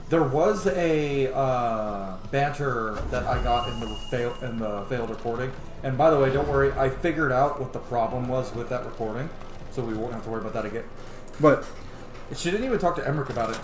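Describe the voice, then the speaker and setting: A man's voice: medium pitch, background noise. Casual man in an echoey room. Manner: informal, rambling, reacts aloud. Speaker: gaming video host. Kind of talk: casual game playthrough commentary. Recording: roomy echo, game music behind. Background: game music.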